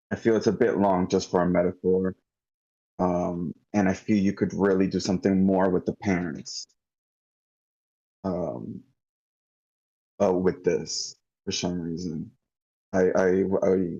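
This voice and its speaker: Male, deep and mellow, halting off-the-cuff critique, lo-fi online call sound. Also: slightly rough. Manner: halting, circling back mid-thought. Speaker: table read participant giving script feedback.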